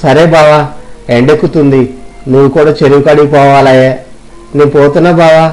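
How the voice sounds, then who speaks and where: A man's voice: a little high, level tone, fairly quick, darkish tone, soft background music. A man voicing poor older village men in a dramatized moral story, with earnest, rustic dialogue. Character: poor older village washerman and his barber friend.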